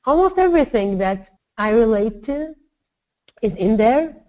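A woman's voice: fairly high pitch, big pitch swings, measured pace, a little mellow. A woman gives personal testimony in an interview, speaking emphatically in repeated lists. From Syria. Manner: emphatic, builds repetitive lists. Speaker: interviewee, writer. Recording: slightly dull lo-fi sound.

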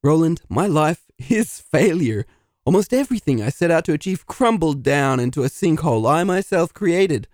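A man's voice: a little high, expressive, moderate pace, darkish tone, no echo, studio quality, lightly acted. A man doing a melodramatic, self-pitying loser. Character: self-pitying failed male dungeon master. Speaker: narrator. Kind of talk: comedy audio drama.

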